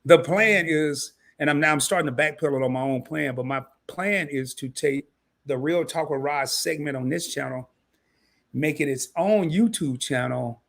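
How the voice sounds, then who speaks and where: Man, live-stream host, casual slangy talk, slightly scratchy voice, lively rising pitch. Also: normal speed, gravelly. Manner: animated, slangy and laughing at himself. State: scratchy voice tonight.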